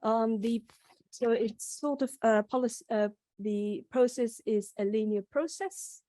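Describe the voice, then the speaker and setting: A woman's voice: fairly high pitch, a little slow, warm tone, no echo. A young woman with a Yorkshire accent giving an academic conference presentation, explaining step by step with frequent ums and restarts.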